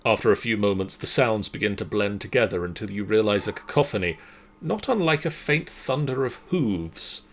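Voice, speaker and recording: A man serving as game master, narrating a story in a measured, dramatic way and then chatting casually. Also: on the low side, animated, fairly bright tone.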